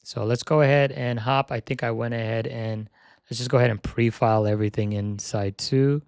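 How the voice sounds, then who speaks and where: A young man chats casually on a live stream while setting up a flight, thinking aloud as he goes. His voice is slightly hoarse with a gravelly edge, and the mid-pitched delivery sounds close and dry, with no room around it. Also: fairly even intonation, fairly quick, darkish tone, no echo. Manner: repeats "yep" while checking items.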